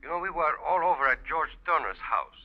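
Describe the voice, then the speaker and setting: An actor playing a genial, formal gentleman friend in a 1940s radio drama, speaking with polite, deliberate phrasing. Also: on the high side, expressive. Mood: courtly, genial. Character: courtly, gout-ridden Spanish-named gentleman friend, genial and formal. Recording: dull, lo-fi vintage radio recording.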